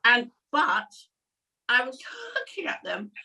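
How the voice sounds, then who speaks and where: A woman's voice: a little high, wildly swooping pitch, takes it slowly, vocal fry, pushed and bright. A middle-aged British woman chatting casually and telling anecdotes as a co-host on an online panel show. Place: at home indoors on a video call. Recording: slightly distant video-call mic.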